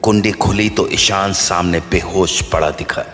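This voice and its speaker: Guy narrating a horror story, really low voice, big reverb with music under it. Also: steady tone, moderate pace. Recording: long reverb over background music.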